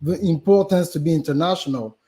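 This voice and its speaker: A man's voice: on the high side, a little fast, mellow. A man with a French accent, a business guest on a live stream interview, talking animatedly with many fillers and restarts. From Gabon.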